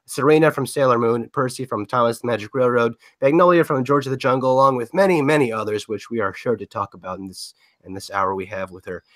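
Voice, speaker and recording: A man hosting a podcast interview, sounding nasal while getting over a cold, hesitant with many fillers and quick laughs. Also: medium pitch, a bit bright. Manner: hesitant, filler-heavy, giggly. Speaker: podcast host.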